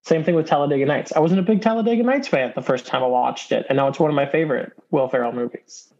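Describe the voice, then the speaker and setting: Casual co-host, man, higher voice, cusses a lot, dull lo-fi audio. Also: quick pace, gravelly. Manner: swears often, animated quoting. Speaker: podcast co-host. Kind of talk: casual movie chat.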